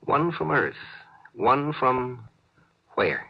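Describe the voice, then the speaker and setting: Slow, portentous male radio narrator. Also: medium pitch, very animated, creaky. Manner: portentous, measured. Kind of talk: 1950s radio science fiction narration. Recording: dull, faintly noisy old radio recording.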